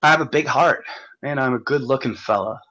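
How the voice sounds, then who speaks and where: A man hosting a livestream, ranting in a profane, stumbling, repetitive way while reading comments, his voice going hoarse. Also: medium pitch, a little fast, pushed and bright, rough recording. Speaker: livestream host. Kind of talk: rambling livestream rant.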